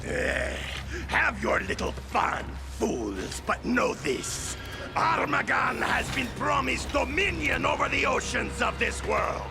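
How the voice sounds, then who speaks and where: A man voicing a pompous mutant fish warlord in a video game, grandiose and menacing with drawn-out words. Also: extremely high pitch, big pitch swings, gravelly, over music, heavily acted. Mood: menacing, boastful. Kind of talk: video game villain acting.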